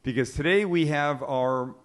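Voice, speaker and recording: A man's voice: fairly high pitch, a little slow. A man hosting an online cooking show, chatting casually and stretching out words for emphasis. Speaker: host of an online cooking livecast. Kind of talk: casual talk-show interview.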